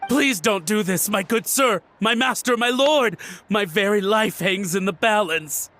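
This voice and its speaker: A man's voice: high voice, unhurried, pushed and bright, faint music behind. An actor playing a nervous, fawning male antique collector in a video game cutscene, pleading and stammering.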